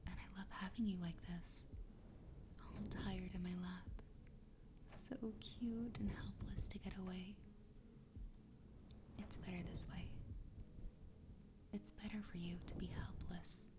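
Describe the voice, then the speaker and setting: A woman voicing a doting, possessive yandere fox girl wife in a soft, breathy ASMR whisper, tender and soothing. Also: mid-range voice, fairly even intonation, slow, long pauses, lightly acted. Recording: clear background ambience noise.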